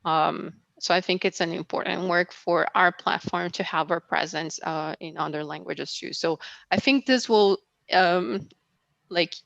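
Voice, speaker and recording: A woman's voice: lowish voice, measured pace, creaky, a bit bright, dry recording, lo-fi sound. A woman from Brazil, not a native English speaker, answering questions after her talk in a casual, hesitant way with many fillers. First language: Portuguese. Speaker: guest speaker.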